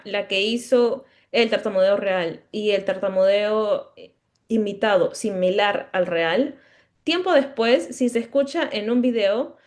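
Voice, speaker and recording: A woman gives a conference lecture as a speech-language pathologist, in a voice of middling pitch for a woman. She explains patiently and checks in with her listeners now and then. Now and then she restarts a word partway through. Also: a little mellow. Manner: explanatory, asks the audience checking questions; stutters at times, mid-word restarts.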